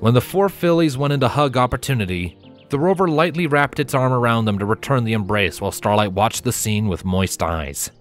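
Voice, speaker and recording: A man giving an expressive scripted narration of a story as its narrator. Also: medium pitch, fairly quick, faint music behind.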